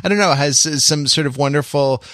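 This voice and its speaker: A man's voice: a little high, fairly quick, fairly bright tone, dry recording, clean studio sound. A middle-aged man co-hosting a podcast, chatting casually in a hesitant way and often repeating words. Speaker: podcast co-host. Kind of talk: casual podcast introduction and chat.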